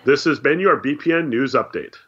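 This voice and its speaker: A man co-hosting a podcast, nursing a head cold that roughens his voice. He delivers scripted sports news with deadpan sarcasm, and the recording sounds dull and lo-fi. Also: medium pitch, brisk. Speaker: podcast co-host. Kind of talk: scripted news segment with dry jokes.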